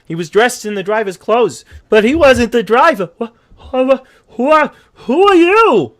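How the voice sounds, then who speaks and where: A man's voice: very high voice, expressive, measured pace, a bit bright, full character voice. A man voicing an anxious, earnest horse who narrates his own downfall in a stammering, melodramatic way. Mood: alarmed, rueful. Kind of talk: scripted audio comic narration.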